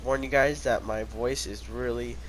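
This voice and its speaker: A teenage boy talks through a story with a blocked, congested nose from being sick. His delivery is casual and rambling, full of self-quotes, at an ordinary middle pitch. A faint noise floor sits under the recording. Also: fairly even intonation, moderate pace, rough recording. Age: teens. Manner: rambling, many "I was like" quotes. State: stuffy, congested from a cold. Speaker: vlogger.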